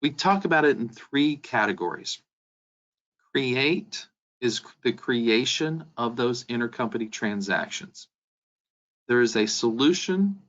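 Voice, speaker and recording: A man presents a business webinar in a mid-pitched voice. He explains things step by step and addresses the listener directly. The audio is dull and limited in range. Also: fairly bright tone. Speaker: software company presenter.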